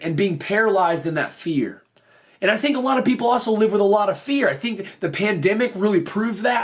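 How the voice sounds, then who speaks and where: Male podcast host, somewhat high voice, slightly worn from recent voice loss, delivering earnest motivational explanation. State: voice slightly off after losing it.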